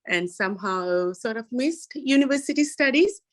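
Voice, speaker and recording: A woman's voice: on the high side, lively intonation, normal speed, a bit bright. A woman in her thirties from Sri Lanka, introducing herself candidly on a video-call student panel, with a self-deprecating humor. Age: 30s.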